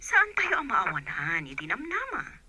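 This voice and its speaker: A woman's voice: mid-range voice, huge pitch swings, normal speed, sharp tone, breathy edge, dry recording, exaggerated voice. A woman voicing a doctor on the phone in a radio drama, encouraging. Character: cheerful female doctor on the phone. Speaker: doctor character. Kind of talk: Ilocano radio drama acting.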